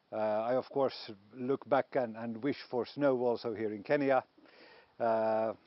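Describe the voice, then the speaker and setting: A man with a Finnish accent speaks hesitantly in an interview, filling pauses often. The sound is dry and a little dull. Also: mid-range voice, dry recording. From Finland. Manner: hesitant, frequent fillers. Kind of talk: interview statement.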